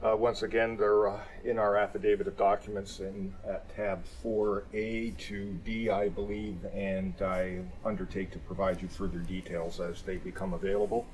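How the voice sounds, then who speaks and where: A man playing a claimant's lawyer, speaking formally and carefully, a little haltingly. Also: lowish voice, flat delivery, a little hiss or hum, close to an everyday voice, rough recording. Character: measured male lawyer representing the injured claimant. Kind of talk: staged legal discovery.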